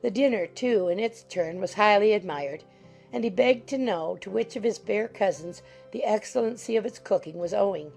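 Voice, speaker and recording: A woman reads a classic novel as audiobook narration. Her voice is medium in pitch and dark, with noticeable fry at the edges. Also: moderate pace, soft background music, poor audio.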